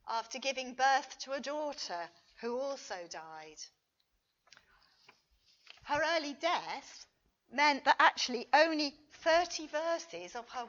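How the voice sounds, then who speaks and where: A woman reading a scripted academic paper as a conference lecturer, in a measured, deliberate and expressive manner. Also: high voice. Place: conference talk. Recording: dull, muffled lo-fi recording.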